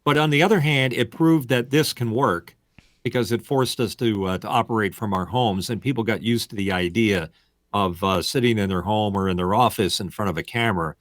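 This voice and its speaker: A man in his sixties, a producer, speaking in a measured, reflective way with hesitant restarts. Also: lowish voice, dry recording, studio quality. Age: 60s. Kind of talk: conversational interview, asking questions.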